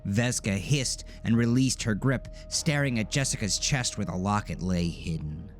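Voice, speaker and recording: A man's voice: fairly low pitch, moderate pace, faint music behind. A man narrating a scripted psychological horror story as a dramatic narrator.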